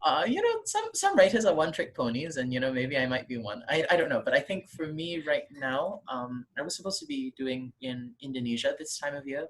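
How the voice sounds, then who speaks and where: A man's voice: on the high side, big pitch swings, brisk, few pauses. Young man, author interviewee, casual, rambling, self-deprecating, home room. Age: young adult. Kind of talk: casual interview over a call.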